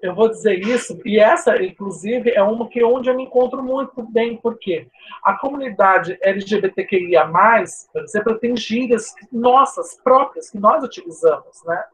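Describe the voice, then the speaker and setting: A Portuguese teacher from São Paulo's coast, with its sibilant S, gives a chatty live online class full of tag questions and restarts. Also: female voice, mid-range voice, moderate pace, rough recording. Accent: Brazilian Portuguese, coastal São Paulo. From São Paulo state coast, Brazil. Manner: informal, chatty, frequent "né?" tags and restarts.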